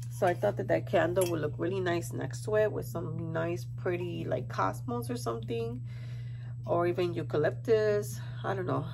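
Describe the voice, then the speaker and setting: A woman's voice: on the low side, vocal fry, background noise. A congested woman chatting casually to viewers in a rambling haul vlog, thinking aloud as she goes. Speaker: home decor haul vlogger.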